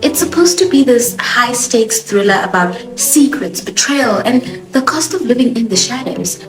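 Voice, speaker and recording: A young woman, a native Swahili speaker with a Johannesburg accent, giving a sassy, expressive TV show review as host. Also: on the high side, normal speed. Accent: South African (Johannesburg). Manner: expressive, sassy, animated emphasis. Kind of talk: casual opinionated review. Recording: echoey room with music behind.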